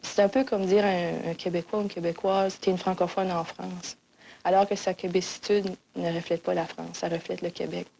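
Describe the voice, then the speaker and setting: A woman's voice: on the low side, flat delivery, normal speed, vocal fry, warm tone, slight background noise, poor audio. A woman from Acadia speaking firmly in an interview clip. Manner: firm, explanatory.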